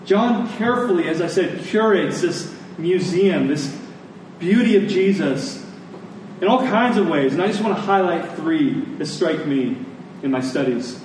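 A man preaching a sermon in a conversational, deliberate way, telling stories and explaining scripture, pausing and repeating phrases for emphasis. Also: a little high, expressive, darkish tone. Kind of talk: sermon with storytelling and scripture exposition. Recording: echoey room, distant mic, faint noise.

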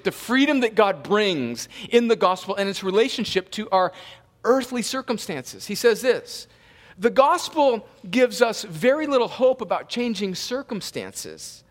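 A male pastor delivers a sermon in a conversational style that swells into emphatic, lifted passages. His voice sits a little high for a man, and he is sniffling with a slight cold. The sound rings out with the long reverb of a large hall. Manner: conversational preaching that rises to emphatic peaks.

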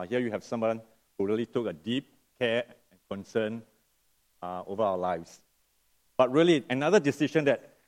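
Nasal-sounding preacher man. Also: mid-range voice. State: lingering cough. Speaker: guest preacher. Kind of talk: sermon.

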